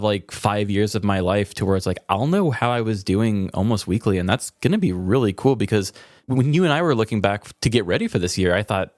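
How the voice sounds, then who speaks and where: A man in casual podcast conversation as co-host. His voice sits fairly low, and he talks informally and reflectively. The recording is dry and free of room sound. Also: dry recording.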